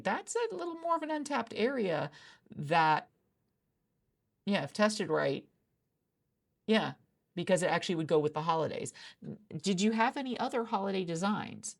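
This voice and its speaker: A woman doing a soft-spoken ASMR roleplay as a hiring manager, chatty and rambling with many restarts, recorded in a friend's office. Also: deep voice, vocal fry.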